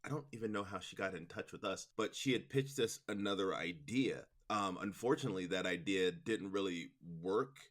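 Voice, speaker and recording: Deep-voiced man in conversational interview. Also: normal speed, few pauses, fairly bright tone. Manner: rambling, explanatory, often says "you know". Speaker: interview guest, audio drama producer and director.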